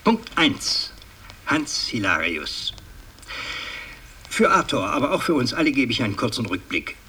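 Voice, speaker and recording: An actor playing a pompous, fussy older aristocratic patriarch in a radio drama, speaking in a halting, ceremonious, long-winded way. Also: male voice, medium pitch, very animated, pushed and bright, breathy edge, exaggerated voice. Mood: solemn, fussy. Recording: distant, noisy 1960s radio recording.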